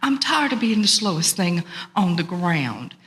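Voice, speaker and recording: A woman's voice: fairly low pitch, big pitch swings, measured pace, a bit bright. A woman voicing a frustrated, insistent male turtle who longs to fly, in an oral folktale. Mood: frustrated, complaining. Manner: insistent, complaining. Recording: long reverberant hall sound.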